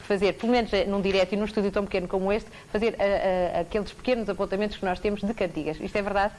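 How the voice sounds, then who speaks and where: A woman with a European Portuguese accent hosting a live studio TV show, asking questions in a brisk, prompting way. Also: medium pitch, fairly even intonation, a little mellow, low-quality recording. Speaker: TV show host. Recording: old VHS TV recording, faint hiss.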